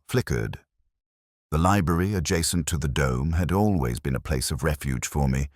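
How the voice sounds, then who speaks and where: Audio drama lead: male actor as reflective, brooding detective narrator, middle-aged, very deep voice with expressive rises and falls, literary and measured. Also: dry recording, studio quality, full character voice.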